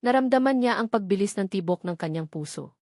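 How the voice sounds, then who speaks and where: A woman's voice: medium pitch, lively intonation, fairly quick, darkish tone, no echo, studio quality. A woman narrating a scripted love story in a solemn, suspenseful, dramatic manner.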